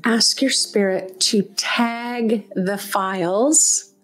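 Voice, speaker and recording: Slow-talking woman guiding a meditation. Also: medium pitch, fairly even intonation, faint music behind, poor audio. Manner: gentle, encouraging, conversational. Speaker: meditation teacher. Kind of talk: guided meditation, unscripted.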